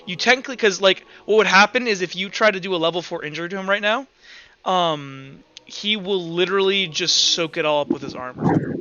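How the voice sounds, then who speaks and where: A man's voice: sits high, a little fast, bright, forceful tone. A man casually narrating and refereeing a tabletop roleplaying game as game master. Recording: dull lo-fi sound, music behind.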